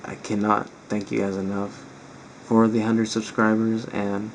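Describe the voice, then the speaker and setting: A man hosting a reaction video, chatting casually and a bit ramblingly to viewers in a strained, raspy voice. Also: on the low side, monotone, moderate pace, low-quality recording. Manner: rambling, informal. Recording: faint background noise.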